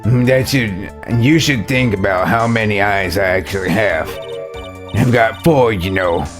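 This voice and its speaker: A man's voice: lowish voice, unhurried, over music, lightly acted. A man voicing a cocky, defensive ventriloquist's puppet testifying as a witness in a courtroom game. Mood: smug.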